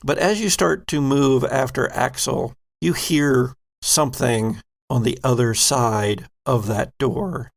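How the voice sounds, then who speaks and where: A man narrating a tabletop horror game as game master, slow and measured. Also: medium pitch, vocal fry, dry recording.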